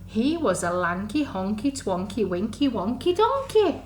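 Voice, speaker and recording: Female children's storyteller, unhurried and sing-song, exaggerated delivery for under-fives, recorded in an echoey room. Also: mid-range voice, very animated, a little mellow. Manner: sing-song, exaggerated, asks the listeners questions. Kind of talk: animated storytime reading to young children. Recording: echoey room, faint background noise.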